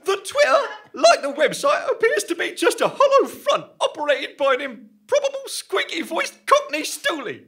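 Shrill, squeaky, indignant falsetto. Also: male voice, huge pitch swings, moderate pace, few pauses, fairly bright tone, full character voice. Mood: shrill indignation. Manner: shrill, theatrical. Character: squeaky, indignant caricature reading a journalist's notes. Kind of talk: live comedy sketch.

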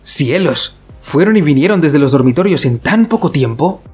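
A woman voicing a friendly, blunt, energetic teenage boy in a cartoon fandub. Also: deep voice, moderate pace, slightly rough, faint music behind. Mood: surprised. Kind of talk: fandub comic acting.

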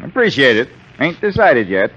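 A man's voice: medium pitch, sing-song, normal speed, a bit bright, slight background noise. A man playing a stubborn, grumpy Massachusetts farmer in a 1940s radio drama, talking in a folksy, terse grumble. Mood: grumbling, reluctant. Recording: dull vintage 1940s radio recording.

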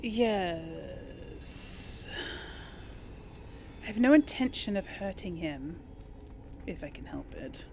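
A woman's voice: on the low side, lively intonation, extremely slow, pauses often, a little mellow, a little hiss or hum. A woman hosting a gaming video, giving casual commentary and role-playing along. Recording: game music under the voice. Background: game music.

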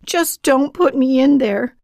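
A woman's voice: high voice, takes it slowly, creaky, a little mellow, dry recording, studio quality, pitched above the performer's own voice, exaggerated voice. A woman voicing a slight, frightened schoolboy in a story, pleading desperately with bullies for mercy. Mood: terrified begging.

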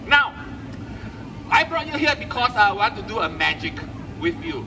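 A man's voice: sits high, sing-song, normal speed, bright, forceful tone, recorded from a distance, slight background noise. A middle-aged man doing live puppet show patter, giving short questions and instructions to the crowd over an outdoor stage PA. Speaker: children's ventriloquist entertainer. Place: outdoor festival stage at night.

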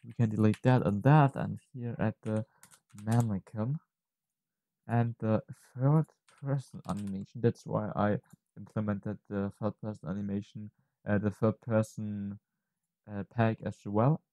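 A man presents a software tutorial in a lowish, mellow voice. He speaks very slowly and haltingly, thinking aloud and correcting himself as he works. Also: steady tone, no echo, low-quality recording. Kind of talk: unscripted software tutorial narration.

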